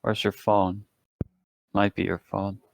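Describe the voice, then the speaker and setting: A man's voice: on the low side, little pitch movement, slow pace, creaky, dry recording. A man chatting casually as a player in a tabletop game. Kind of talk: casual chat.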